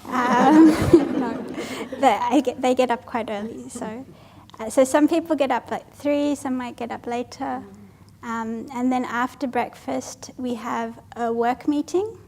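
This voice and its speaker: A Buddhist nun, reading out audience questions at a retreat Q&A, light and self-deprecating with frequent laughter. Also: female voice, high voice, steady tone, unhurried, hardly any pauses, mellow, slight background noise. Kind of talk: Q&A panel at a retreat. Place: retreat hall with a live audience.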